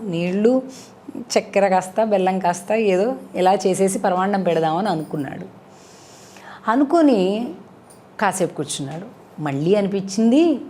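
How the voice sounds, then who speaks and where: A woman tells a moral story as a devotional storyteller, in an expressive, conversational way with rhetorical questions. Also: mid-range voice. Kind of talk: animated moral storytelling to an audience.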